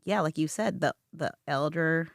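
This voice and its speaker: A host asking long, rambling questions that often restart mid-sentence in a relaxed conversational interview. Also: mid-range voice, normal speed, vocal fry, no room sound, studio quality.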